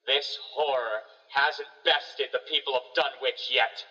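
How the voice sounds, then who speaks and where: An actor playing a grim small-town man rallying frightened townsfolk in a radio horror drama, with a slow, commanding delivery. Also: medium pitch, steady tone. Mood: urgent, defiant. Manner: slow, forceful, commanding public address. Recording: echoing hall, distant, slightly muffled.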